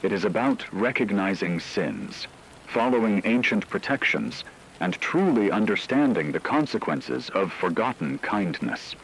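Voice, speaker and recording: Casting: man playing grave radio news host, low voice, measured and ominous horror-drama read, rainy noise underneath. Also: big pitch swings, fairly bright tone, heavily acted, rough recording. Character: grave male radio newscaster reporting supernatural terror.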